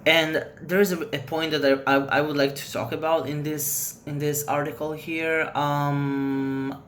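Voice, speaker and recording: A man's voice: on the high side, flat delivery, measured pace, fairly bright tone, slight background noise. A man whose first language is Hebrew, chatting casually to camera as a vlogger, rambling loosely and giving frank opinions.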